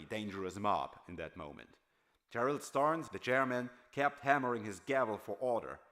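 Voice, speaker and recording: A man playing a weary homeless former factory worker in an audiobook, confessing his memories in a halting, solemn way. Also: on the low side, a bit bright, lightly acted. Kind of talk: dramatized audiobook monologue.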